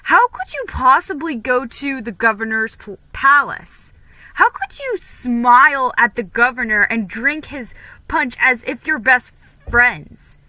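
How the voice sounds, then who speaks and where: A woman puts on a hot-headed young colonial man from a storybook. The delivery is forceful and accusing, insistent on every point, in a bright, tense voice. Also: high voice, very animated, measured pace, dry recording, voice pushed up, full character voice. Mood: outraged. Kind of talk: dramatic storybook reading.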